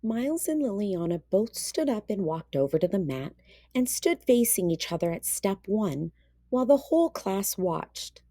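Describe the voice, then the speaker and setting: A woman narrating a children's storybook read-aloud in an expressive, deliberate way. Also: mid-range voice, no echo, clean studio sound.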